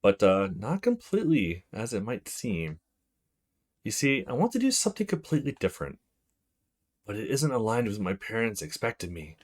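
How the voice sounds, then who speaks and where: A man's voice: fairly low pitch, wildly swooping pitch, normal speed. A man voicing a friendly, coy young student woman in a visual novel, with casual, teasing delivery.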